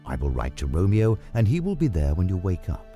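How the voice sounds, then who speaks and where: A man voicing an old friar who speaks gravely and deliberately in a story drama. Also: low voice, huge pitch swings, dark tone. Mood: reassuring, grave. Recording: music under the voice.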